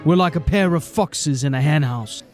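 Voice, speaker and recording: Blunt, wry lawman of a fantasy city watch, played by a man, slightly high-pitched, grumbling. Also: normal speed, faint music behind, lightly acted. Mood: wry, playful. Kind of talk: fantasy audio drama acting.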